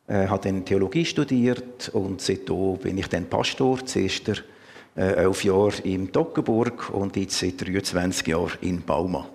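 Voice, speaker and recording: A man's voice: sits low, darkish tone, big-room sound. A man in his fifties with a Swiss German accent, preaching a sermon in an earnest, conversational way with many fillers. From Canton of Lucerne, Switzerland. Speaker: pastor.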